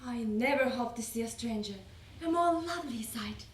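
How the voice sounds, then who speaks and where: A woman giving a theatrical, expressive reading of a wartime letter as part of a readers theater. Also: a little high, normal speed. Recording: roomy, distant mic, faint noise.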